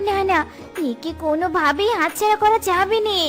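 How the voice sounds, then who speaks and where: A woman voicing a gushing, quick-tempered young woman in a children's cartoon, exclamatory and theatrical. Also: extremely high pitch, a bit bright, soft background music. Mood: scheming, coy.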